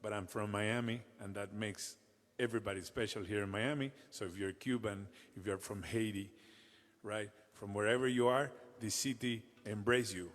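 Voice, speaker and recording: A man from Venezuela teaching a music rhythm to children, in a halting way that restarts words. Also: lowish voice, fairly even intonation, in a large hall. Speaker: percussion teacher, guest performer.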